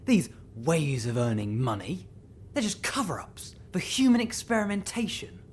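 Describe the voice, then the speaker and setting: A man's voice: a little high, very animated, normal speed, a little hiss or hum. An actor playing a defiant, frightened man in an audio drama, blunt and agitated.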